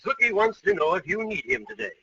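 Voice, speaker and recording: An actor playing a dry, fond older uncle with a gravelly voice in 1940s radio comedy. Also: male voice, sits high, expressive, quick pace, sharp tone. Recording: very noisy, distant, muffled old radio.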